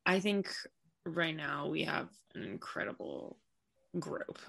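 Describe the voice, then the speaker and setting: A woman athlete answers interview questions in a relaxed, easygoing way, leaning on "like" and "you know". Her voice is fairly low for a woman, with a lot of vocal fry and a bright edge. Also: takes it slowly. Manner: relaxed, filler-heavy speech with many "like" and "you know". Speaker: professional soccer player, interview guest.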